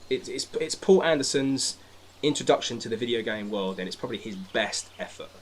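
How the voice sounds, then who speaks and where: A man's voice: medium pitch, expressive, brisk. A man talking casually about films as a guest on a podcast. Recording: noticeable background noise.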